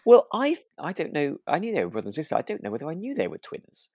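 A man's voice: a little high, wildly swooping pitch, quick pace, darkish tone, no room sound. Tentative man co-host, dry close sound. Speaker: podcast co-host. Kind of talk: casual chat reviewing a radio drama.

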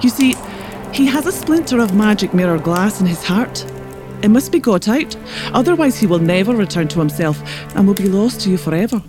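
An actress with an Irish accent playing a shrewd, hospitable northern wise woman in a children's audio drama, speaking with deliberate gravity. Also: mid-range voice, expressive, music in the background, natural voice. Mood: grave, frank.

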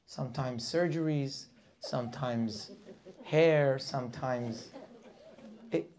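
A man's voice: mid-range voice, slow, darkish tone. A man teaching a weekly Torah class, preaching with passion, firing rhetorical questions and sometimes dropping to a near whisper.

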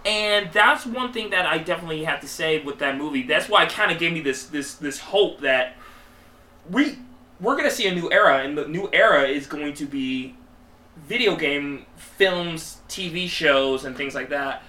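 Male podcast co-host, high and piercingly bright, emphatic repetitions, informal talk, echoey pickup. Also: lively intonation. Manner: halting, emphatic, repeats words. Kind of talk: casual chat about films and games. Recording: echoey room, distant mic.

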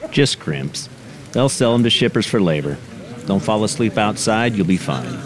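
A man's voice: fairly low pitch, animated, moderate pace, a crowd in the background, natural voice. A man playing a blunt, world-weary veteran logger, speaking tersely in an audio drama. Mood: gruff, dry. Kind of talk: screenplay audio drama acting.

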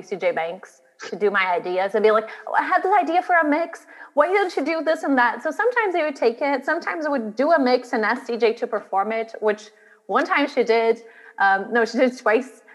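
A woman's voice: on the high side, big-room sound. A woman from Brazil, a drag artist and guest, telling her story in a chatty interview, laughing now and then. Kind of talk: interview chat.

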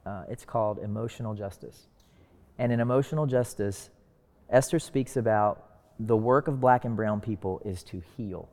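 A man with a fairly low voice speaks as a panelist. He explains in an earnest, deliberate way, leaning on key words. His voice rings with hall-like reverberation. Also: darkish tone. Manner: deliberate, emphatic phrasing. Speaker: discussion panelist.

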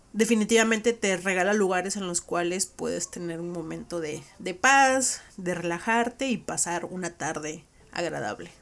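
A woman with a Mexican accent hosting a podcast, recapping a conversation in a reflective, conversational way. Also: lowish voice. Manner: reflective, conversational, frequent "¿no?" tags. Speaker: podcast host.

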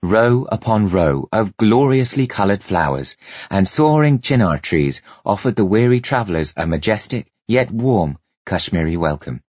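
Male storyteller for dramatic fiction narration; low, slightly rough voice, measured and expressive. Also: dry recording, lo-fi sound. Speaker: audiobook narrator.

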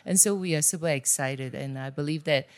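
A woman's voice: deep voice, brisk. A middle-aged woman from Singapore, a guest pastor, speaking with enthusiasm and hesitant fillers. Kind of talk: casual conversational interview.

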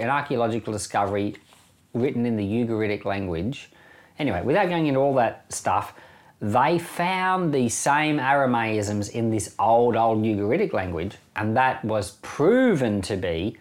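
A man's voice: medium pitch, lively intonation, normal speed. A middle-aged man with an Australian accent gives informal Bible commentary as a pastor, in a plain-spoken, conversational way. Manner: conversational, plain-spoken, chuckles now and then. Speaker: pastor hosting a Bible podcast.

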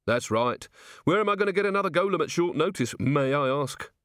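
A grumbling working-class tradesman, played by a male narrator in a storybook. He is blunt and colloquial, pitched up from plain narration, with very animated delivery. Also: fairly quick, no room sound, studio quality. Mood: aggrieved. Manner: colloquial, complaining.

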